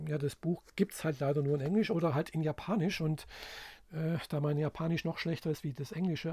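A man talks in a loose, rambling way, stopping often to hunt for words. His voice sits a little high but sounds warm and mellow. The recording is close and dry. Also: no room sound. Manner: rambling, halting, many fillers. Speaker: language learner. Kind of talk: casual spoken monologue.

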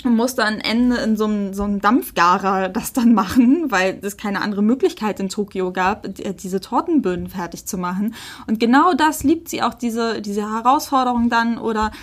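A woman co-hosting a podcast, telling anecdotes in a casual, conversational and animated way. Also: mid-range voice, moderate pace. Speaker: podcast co-host.

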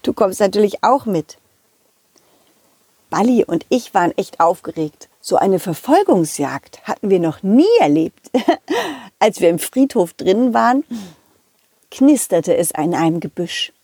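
A woman telling a children's story as an animated narrator. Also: medium pitch, measured pace, breathy edge, dry recording. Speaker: storyteller and puppeteer.

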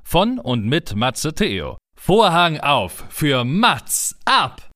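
A man reading a scripted show intro as announcer, drawing the words out in a slow announcing style. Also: on the high side, sing-song, a bit bright, dry recording, clean studio sound.

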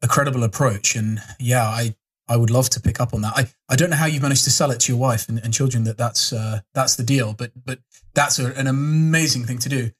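A man's voice: medium pitch, fairly even intonation, a little fast, breathy edge. A man from South Africa as a guest in a casual interview, speaking thoughtfully and hesitantly, often repeating words. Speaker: podcast guest.

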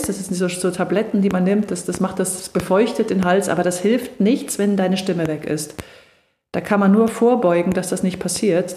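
A middle-aged woman coaching on voice and stage fright, explaining calmly and reassuringly in a podcast conversation. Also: medium pitch, slight background noise. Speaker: voice and charisma coach. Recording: echoey room.

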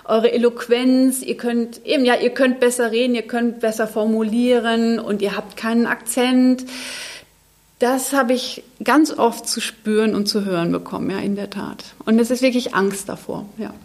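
A woman's voice: fairly high pitch, steady tone, normal speed. A woman from Germany, a guest in a discussion, who answers in a reflective, thoughtful way.

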